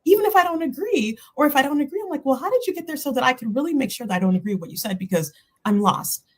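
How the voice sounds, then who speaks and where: A woman's voice: high-pitched, lively intonation, rapid-fire, a little mellow. A woman chatting casually on a panel, speaking emphatically and acting out her thoughts as quoted dialogue. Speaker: panelist on a spiritual talk livestream.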